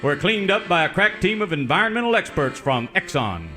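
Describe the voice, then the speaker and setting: An actor playing a melodramatic, mock-heroic announcer narrating a parody of an old cowboy radio serial. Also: male voice, high voice, very animated, fairly quick, loud music behind the voice. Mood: grand wrap-up.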